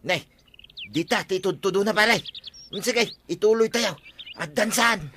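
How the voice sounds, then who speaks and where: An actor with an Ilocano Filipino accent playing an excitable, squabbling sidekick in a radio comedy drama. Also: male voice, high-pitched, measured pace, slight background noise. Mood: eager.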